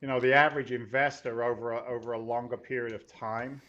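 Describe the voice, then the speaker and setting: Loud blunt guy cohosting an investing chat, cusses easy, slightly muffled lo-fi audio. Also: mid-range voice, flat delivery, quick pace. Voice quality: loud, emphatic. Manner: blunt, swears casually, rising to punchy emphasis. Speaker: podcast co-host.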